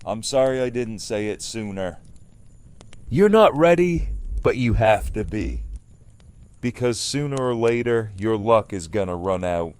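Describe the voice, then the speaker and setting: Hard, uncompromising leader played by a man, lowish and very measured, stern delivery for radio play. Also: animated, darkish tone, clear, resonant tone. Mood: stern, defiant. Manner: deliberate, stern. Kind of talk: audio drama acting.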